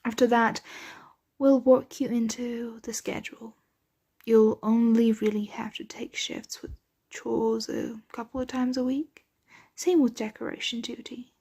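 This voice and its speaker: A woman playing a doting, genteel vampire mistress in an ASMR roleplay, speaking softly and breathily in a soothing, possessive, coaxing manner. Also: on the high side, little pitch movement, vocal fry, slightly rough, heavily acted. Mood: doting, reassuring. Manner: slow, coaxing, whispery soft-spoken delivery. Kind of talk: ASMR roleplay, soft intimate close speech.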